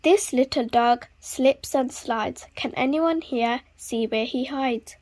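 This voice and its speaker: A woman reading a children's story aloud expressively, with deliberate, dramatic emphasis on repeated words. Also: high voice, a little mellow, faint music behind. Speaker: children's book reader.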